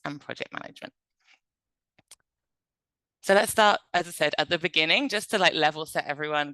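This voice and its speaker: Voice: mid-range voice, pushed and bright, clean tone, no room sound, rough recording. A presenter from England, giving an informal webinar in a conversational, explanatory manner. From North Devon, England.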